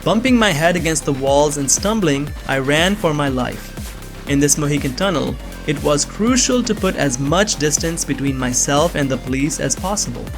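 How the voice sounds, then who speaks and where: A man's voice: on the high side, animated, normal speed, music in the background. A young man giving earnest, scripted first-person narration of a suspense story. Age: young adult.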